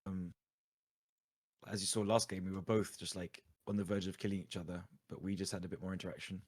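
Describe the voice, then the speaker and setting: Male game coach on a stream, lowish voice going a bit hoarse, casual and analytical. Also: level tone, fairly quick. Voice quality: slightly husky. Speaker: guest player coaching a card game stream. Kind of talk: casual live game commentary.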